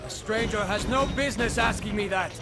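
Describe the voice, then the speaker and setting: An actor playing a guarded, searching young fighter in a video game, earnest and intense. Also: male voice, high voice, a little fast, vocal fry, music in the background. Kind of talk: dramatic video game acting.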